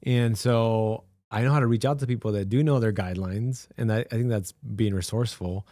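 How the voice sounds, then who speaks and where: A man's voice: lowish voice, steady tone, mellow, no echo. Man hosting, dry close sound. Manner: encouraging, quick interjections of agreement. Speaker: podcast host, loan officer. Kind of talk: casual interview.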